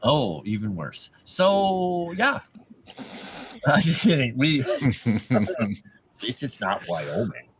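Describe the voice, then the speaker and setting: A man's voice: medium pitch, big pitch swings, crowd noise, poor audio. A man playing a tabletop role-playing game, joking around with the table. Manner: slow, joking banter. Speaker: player. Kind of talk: casual tabletop chat.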